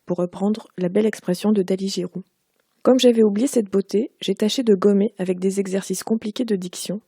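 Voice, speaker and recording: A woman in her forties, raised in Quebec but speaking with a Parisian French accent, reads her own literary prose aloud. Her voice is mellow and warm, at a middle pitch for a woman. The delivery is measured and unhurried, recorded dry with no room echo. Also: husky. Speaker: author.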